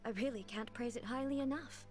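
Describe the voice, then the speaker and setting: A woman acts a dignified goddess ruler from a video game, with a fairly high voice. The words are formal, measured and earnest, with an unworldly reserve, set over music. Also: a little mellow, close to an everyday voice. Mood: sincere, grateful.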